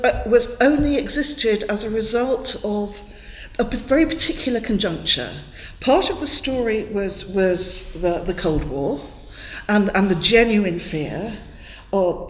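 Welsh-born woman lecturing in an echoey hall. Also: mid-range voice. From Wales. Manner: thoughtful, many fillers and restarts, gestures a lot with both hands. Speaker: guest lecturer. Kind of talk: academic lecture. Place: classroom in front of a chalkboard. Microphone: headset mic. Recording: echoey room with long reverb.

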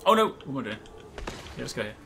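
A man in his thirties giving excited, chatty, exclamatory live commentary while playing a video game. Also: mid-range voice, fast, gravelly, background noise. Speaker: gaming video creator. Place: indoor room at a desk.

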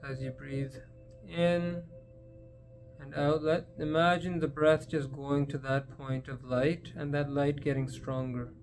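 A man leading a guided meditation in a gentle, unhurried manner, leaving long pauses between phrases. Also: on the high side, level tone, faint music behind.

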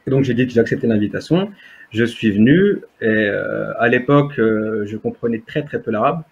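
A man's voice: on the low side, lively intonation, moderate pace, gravelly, breathy edge. A man in his thirties, a native Berber speaker, telling his story as an interview guest on a video call, hesitant with many fillers and restarts. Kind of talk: testimony in conversation. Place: home room, indoors. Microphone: webcam on video call. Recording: dull lo-fi video call audio.

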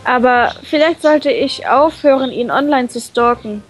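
A woman's voice: high voice, a little slow, rough recording. A playful woman reading a visual novel expressively for a let's play, with casual banter in between. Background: background game music.

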